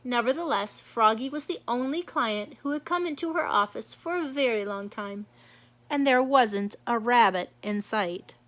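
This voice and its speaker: A woman's voice: a little high, moderate pace, fairly bright tone. A woman narrating a children's storybook as a read-aloud. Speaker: storybook reader.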